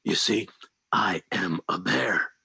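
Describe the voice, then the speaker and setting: A gentle, bewildered bear, as a storyteller puts him on, speaking low and slow, meek yet stubborn. The voice is bright and a little breathy, close and dry with no room sound. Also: male voice, no room sound, full character voice. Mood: pleading. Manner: low, pleading, quiet. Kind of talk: storybook reading.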